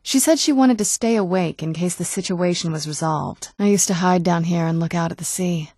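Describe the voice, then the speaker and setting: A woman's voice: on the low side, brisk, darkish tone, no echo. An actress playing a young-looking, guarded female vampire in a video game, speaking in a dry, earnest, conversational way. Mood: matter-of-fact. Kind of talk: video game character dialogue.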